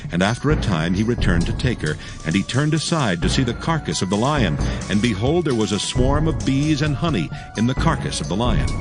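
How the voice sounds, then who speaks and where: A man narrating dramatized scripture as a solemn, measured storyteller. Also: lowish voice.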